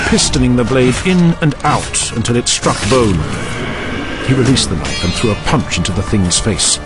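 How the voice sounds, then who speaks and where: A man narrating a scripted audio drama in a theatrical, emphatic, intense manner. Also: mid-range voice.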